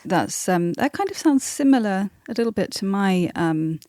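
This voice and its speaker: A woman's voice: medium pitch, animated, moderate pace, warm tone, no room sound, studio quality. A woman hosting a podcast, asking questions in a casual, chatty interview style. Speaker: podcast host.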